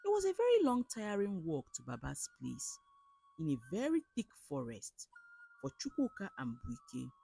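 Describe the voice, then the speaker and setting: A woman with a Nigerian accent narrating a folktale as a storyteller, in an expressive, theatrical way. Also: lowish voice, normal speed, vocal fry, husky, soft background music. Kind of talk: dramatic scripted folktale narration.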